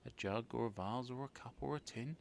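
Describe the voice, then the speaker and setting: A man's voice: medium pitch, brisk, vocal fry, natural voice. A man voicing a loving, eager and polite scarecrow bridegroom in a storybook, with faint noise behind. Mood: thoughtful.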